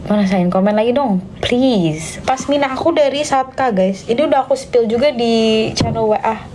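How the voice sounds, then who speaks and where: A woman's voice: a little high, moderate pace, noisy background. A young Indonesian woman answering viewer questions in a casual live stream, slipping in English phrases and sometimes dropping to a whisper. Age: young adult. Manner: chatty, mixes English phrases, drops to a whisper at times.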